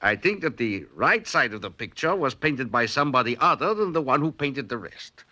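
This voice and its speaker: Fussy, animated art expert. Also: male voice, mid-range voice, normal speed. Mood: considered. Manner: hesitant, fussy, broken English phrasing. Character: fussy, pompous older male art expert. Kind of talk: 1940s radio drama character acting.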